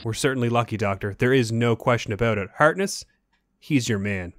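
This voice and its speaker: A man's voice: mid-range voice, a little fast, no room sound, studio quality, lightly acted. A man playing a matter-of-fact hospital lab colleague reporting his findings in a radio mystery. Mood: satisfied. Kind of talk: remake of classic radio mystery acting.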